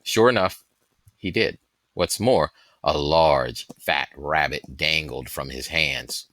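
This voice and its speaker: A man reading a story aloud as an audiobook narrator, with expressive, dramatic and deliberate phrasing. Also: extremely low pitch, no room sound. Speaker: audiobook reader.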